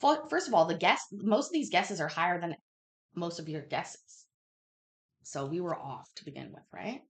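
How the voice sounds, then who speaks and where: A woman's voice: lowish voice, animated, normal speed. A woman in her thirties hosting a casual livestream quiz, reading questions aloud and chatting candidly, swearing and interrupting herself. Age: 30s. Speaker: host of a live online trivia chat.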